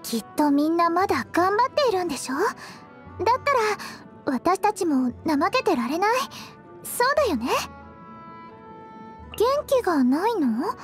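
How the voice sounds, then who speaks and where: A sweet teenage girl healer from an anime game. Her voice is extremely high and girlish, with lively, swooping intonation. She speaks politely and earnestly, cheerfully encouraging, over prominent background music. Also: a little slow, pauses often, heavily acted. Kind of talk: anime game character voice lines.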